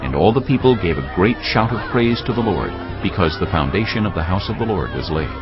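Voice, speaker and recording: A man giving a solemn, measured narration of scripture in a dramatised reading. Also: sits low, animated, muffled recording. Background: background music.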